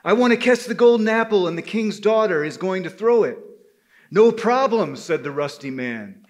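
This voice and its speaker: A man's voice: sits high, lively intonation, normal speed. A man telling a folktale live, emphatic and speaking directly to his listeners. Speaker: storyteller at a men's gathering.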